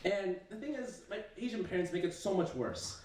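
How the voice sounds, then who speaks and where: A man's voice: sits high, lively intonation, brisk, darkish tone, heavy reverb, distant mic, a little hiss or hum. A young man whose first language is Chinese, doing a casual stand-up set full of fillers, swearing and self-mockery. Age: young adult. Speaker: stand-up comedian. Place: university comedy stage.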